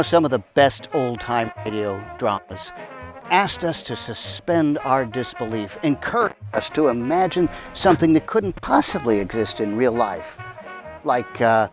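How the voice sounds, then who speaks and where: A man's voice: mid-range voice, rough recording. Man introducing radio drama over music. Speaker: host, announcer.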